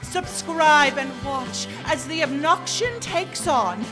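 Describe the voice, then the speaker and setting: A woman's voice: high voice, slow pace, music in the background. A woman delivering dramatic scripted narration as the announcer of a show's introduction.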